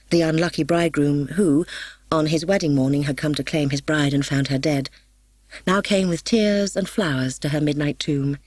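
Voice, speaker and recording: A woman reading a story as an audiobook narrator, expressive and solemn. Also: low voice, no room sound. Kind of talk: dramatic scripted story narration. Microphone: close studio microphone, dry.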